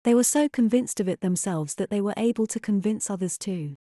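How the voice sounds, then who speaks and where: A woman acting as an earnest wife and mother full of doubt. Her voice is mellow and gentle-edged, at a middling pitch. The audio drama dialogue is recorded dry and close. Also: brisk, dry recording, lightly acted. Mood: skeptical, wistful.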